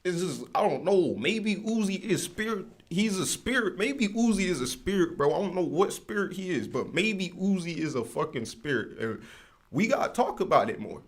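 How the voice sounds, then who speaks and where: Slangy, rambling gravelly-voiced man. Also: sits high, normal speed. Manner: slangy, rambling, animated with lots of fillers. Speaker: livestream host. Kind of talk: casual livestream rant.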